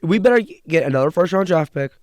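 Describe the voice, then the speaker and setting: A young man co-hosts a sports podcast in a casual, earnest way and stumbles through a stutter with restarts. His voice sits a little high and sounds smooth and resonant. It is recorded dry and close, with no room sound. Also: lively intonation, quick pace, darkish tone, dry recording. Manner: earnest, with restarts and repeated words. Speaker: podcast co-host.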